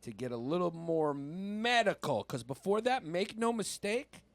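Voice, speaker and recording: A man's voice: high voice, very animated, unhurried, creaky, dry recording. A man whose first language is Greek, co-hosting a comedy history podcast in a rambling, casual way, often stumbling over words. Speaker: podcast co-host.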